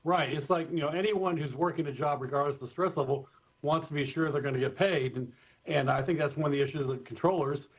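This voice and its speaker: A man with an American accent explaining things informally and in measured fashion as an expert guest in a live interview, over a remote feed. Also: on the high side, breathy edge. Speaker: expert guest, former airport communications director. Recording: dull, slightly lo-fi remote feed.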